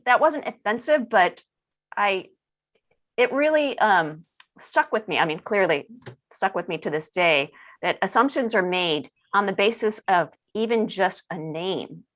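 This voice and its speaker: A woman moderating a panel discussion, speaking in a measured, reflective way. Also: medium pitch, lively intonation, fairly bright tone.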